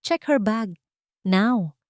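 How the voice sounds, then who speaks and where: A woman's voice: mid-range voice, animated, drawn-out words, darkish tone, no echo, clean studio sound, voice pushed up. A woman voicing a poised, rich fiancée in an audio drama, speaking dramatically with English phrases mixed in. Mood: outraged, commanding. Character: poised rich fiancée, falsely sweet, theatrically distraught.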